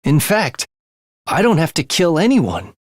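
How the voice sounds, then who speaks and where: Creepy young game killer, slow drawl, theatrical, giddy about death, real dry close sound. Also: male voice, on the high side, no room sound, exaggerated voice. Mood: brooding, detached. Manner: languid, menacing, breaking into gleeful excitement. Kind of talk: theatrical video game voice acting.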